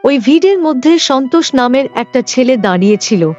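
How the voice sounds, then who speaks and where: High-voiced woman narrating a cartoon story. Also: lively intonation, moderate pace, a little mellow, muffled recording, soft background music.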